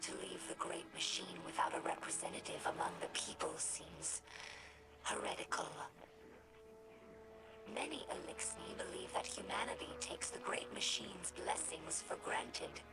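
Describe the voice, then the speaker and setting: A woman playing an earnest young female alien scholar in a video game, with a breathy, whispery voice and measured, formal phrasing. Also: on the low side, very animated, full character voice, rough recording. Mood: troubled, questioning. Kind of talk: video game character dialogue. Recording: music behind the voice.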